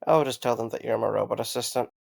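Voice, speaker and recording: A man's voice: medium pitch, fairly even intonation, quick pace, gravelly, no room sound. Wry young night guard, acted by a man, dry sound. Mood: casual, reflective. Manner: wry, conversational. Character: Mike, sarcastic easygoing young night guard. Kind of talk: audio drama dialogue.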